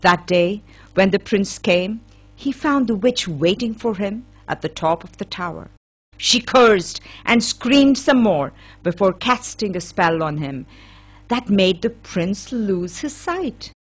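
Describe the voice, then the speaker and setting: A woman's voice: mid-range voice, animated, takes it slowly, breathy edge. A woman narrating a children's fairy tale as a scripted storyteller.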